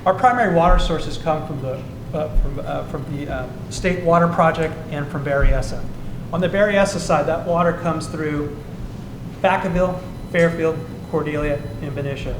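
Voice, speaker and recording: Measured male candidate, echoey hall, noisy bg. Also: a little high. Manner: measured, policy-focused, slight restarts. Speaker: city council candidate. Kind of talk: candidate forum answer.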